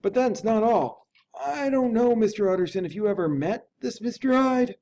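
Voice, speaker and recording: An anxious Victorian butler, acted out by a man reading aloud, pitched high for a man. He is earnest and deferential, with urgent, plain-spoken phrasing from a classic novel reading. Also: normal speed, dark tone, slight background noise. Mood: uneasy, insistent. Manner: urgent, folksy, dramatic servant's speech.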